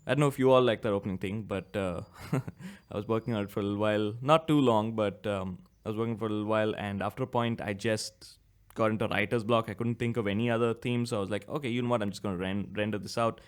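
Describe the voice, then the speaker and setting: A man's voice: lowish voice, fast talker, clean tone. Young man hosting his own podcast. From India. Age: 20s. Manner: rambling, self-correcting, chuckles at himself. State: slightly sick with a cough. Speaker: podcast host. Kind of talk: casual solo podcast talk.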